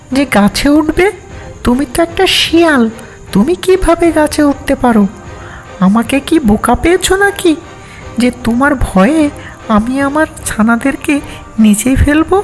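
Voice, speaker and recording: A woman's voice: high voice, lively intonation, a little slow, long pauses, faint music behind, low-quality recording. A woman playing a small, plucky mother bird in a cartoon fable, scolding and protective of her chicks. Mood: defiant.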